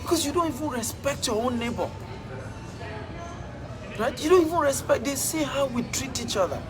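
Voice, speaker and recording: A high-voiced man with a Nigerian accent preaches his point with emphatic repetition, like a motivational speaker. Music plays clearly under his voice the whole time. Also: animated, moderate pace. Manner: emphatic, repeats phrases for effect. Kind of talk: motivational talk.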